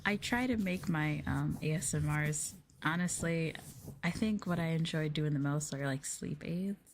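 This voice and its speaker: Female streamer, gentle and lowish, chatting softly in ASMR style over faint background ambience. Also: moderate pace, slight background noise. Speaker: livestreamer, virtual streamer host. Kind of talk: soft-spoken ASMR livestream chat.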